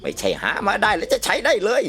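Woman playing a brash, cranky old cartoon grandmother, swooping pitch. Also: wildly swooping pitch, fast, bright, forceful tone, slight background noise. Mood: grumbling, opinionated. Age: older. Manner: brash, scolding, cursing bluntly. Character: cranky, foul-mouthed old village grandmother. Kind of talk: cartoon comedy dialogue.

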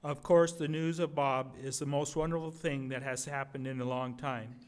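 An older man voicing a steady, earnest soldier son writing home from war. He has a medium-pitched voice and reads the letter aloud in a readers theater. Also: moderate pace, smooth, natural voice. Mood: hopeful. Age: 60s or older. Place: indoor museum gallery at a podium. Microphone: podium microphone.

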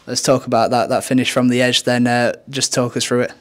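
A man's voice: medium pitch, monotone, brisk. A man working as a club interviewer, putting post-match questions to a player in a conversational way. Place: indoors, off camera.

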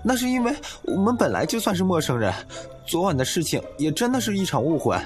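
A man's voice: sits high, big pitch swings, quick pace, soft background music. A man playing a proud, flustered young male college student in an audio drama, indignant and protesting. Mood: defensive. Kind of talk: Chinese audio drama acting.

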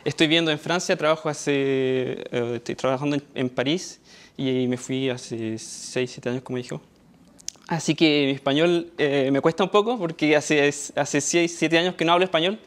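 A man's voice: fairly high pitch, moderate pace. A young Chilean man with a Chilean Spanish accent giving a tech conference talk on stage, hesitant, with many fillers and restarts. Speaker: conference speaker. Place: dark auditorium stage.

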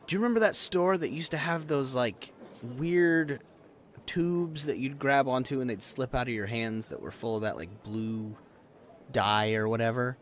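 A man's voice: mid-range voice, normal speed, a little hiss or hum, close to an everyday voice. A man playing a brash, chatty young adult friend in a conversational roleplay audio drama, talking bluntly and casually.

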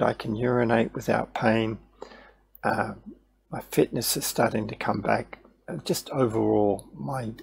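A middle-aged man with a heavily gravelly, rough and husky voice talks into a headset mic from a small room at home. He speaks candidly and plainly, thinking aloud, restarting his sentences. His voice sits at an ordinary pitch. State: voice still recovering after losing it. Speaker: video creator sharing his recovery. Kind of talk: casual talk to camera over slides.

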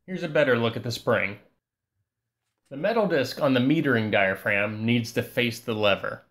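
Guy calmly talking thru a fix step by step, mid pitch, voice-over. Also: normal speed. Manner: calm step-by-step instructions. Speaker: repair channel host. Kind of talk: scripted repair voice-over.

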